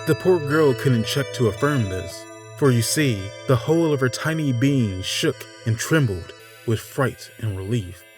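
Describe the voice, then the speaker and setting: A man narrating a scripted fairytale in a measured, theatrical way, pausing between short phrases. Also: mid-range voice, lively intonation. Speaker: storyteller narrator. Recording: music bed under the voice. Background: instrumental music.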